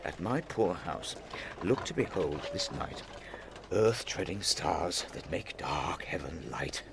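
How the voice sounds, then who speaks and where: Slow-spoken, expressive old nobleman. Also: low voice, creaky, noisy background, full character voice. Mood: gracious, persuasive. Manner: measured, declamatory, verse-speaking. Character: old nobleman, doting father, gracious and commanding host. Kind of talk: classical Shakespearean audio drama acting in verse.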